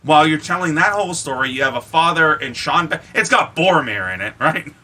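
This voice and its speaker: A man's voice: a little high, lively intonation, fairly quick, pushed and bright. A man co-hosting a podcast in casual chat about films, speaking emphatically and swearing freely. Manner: emphatic, profane. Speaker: podcast co-host.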